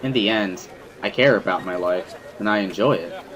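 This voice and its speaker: A man's voice: low-pitched, moderate pace, a crowd in the background, poor audio. A man reading a visual novel as first-person dramatic narration, in an earnest, brooding storytelling manner. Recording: music behind the voice.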